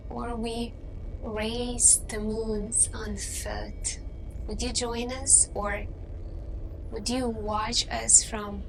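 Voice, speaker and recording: A woman voicing a gentle humanoid alien interviewer in an intimate ASMR roleplay, hesitant and pausing, drifting into whispers. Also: a little high, exaggerated voice. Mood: playful, inviting. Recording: clearly noisy ambient background.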